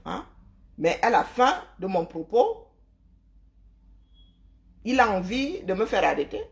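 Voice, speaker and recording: A woman's voice: mid-range voice, very animated, takes it slowly. Emphatic woman lecturing her viewers. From Cameroon. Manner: emphatic, lecturing, full of rhetorical questions and "hein". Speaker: live-stream host. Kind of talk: opinionated live-stream monologue.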